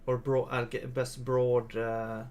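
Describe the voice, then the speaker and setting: A man's voice: mid-range voice, level tone, slow, a little mellow, slight background noise. A man with a Swedish accent, casually livestreaming while he codes, thinking aloud in mumbled half-sentences and chuckling now and then. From Sweden. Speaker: streamer.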